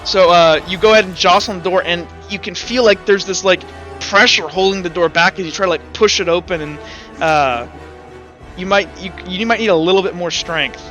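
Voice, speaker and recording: A man narrating a tabletop roleplaying game as game master, in halting, improvised, stop-start phrases. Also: high voice, bright, forceful tone, soft background music.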